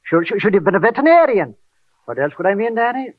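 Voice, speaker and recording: A man's voice: high voice, big pitch swings, quick pace, no room sound, lo-fi sound. An actor playing a folksy, rambling aging night watchman with an Irish accent and horse-racing slang, in old radio drama style. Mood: chatty. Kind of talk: 1940s radio drama acting. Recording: thin, band-limited old radio recording.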